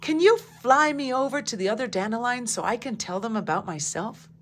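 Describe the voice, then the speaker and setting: A gentle dandelion, voiced by a woman reading aloud, speaking in a softly raised, wistful voice that longs to be remembered. She sounds tender and a little dreamy, like a flower in a storybook. A faint hum sits under the recording. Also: on the high side, lively intonation, fairly quick.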